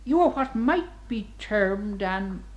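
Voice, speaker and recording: Fussy high-up prison official, educated, peevish, very swoopy lively delivery, radio play. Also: male voice, slow. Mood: condescending. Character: educated, self-important male senior prison official, peevish. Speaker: actor.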